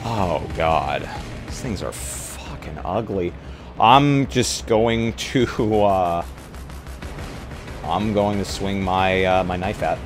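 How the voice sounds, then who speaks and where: A man chatting casually as a tabletop roleplay player, thinking out loud and swearing as he reacts. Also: low voice, slow, over music. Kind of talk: casual game chat.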